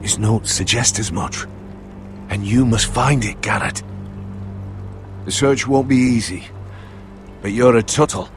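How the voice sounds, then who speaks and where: An actor playing a grave, devoted middle-aged uncle in a fantasy video game, speaking in measured, formal phrases. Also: male voice, lowish voice, soft background music, natural voice. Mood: confiding, urgent. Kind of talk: video game drama acting.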